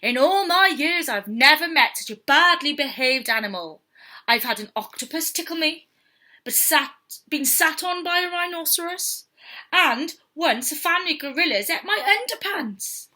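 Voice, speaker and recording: Indignant blustering TV presenter man, acted by a woman, high-pitched. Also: lively intonation, moderate pace, pushed and bright, exaggerated voice. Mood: furious, indignant. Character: furious, indignant male TV wildlife presenter, scolding.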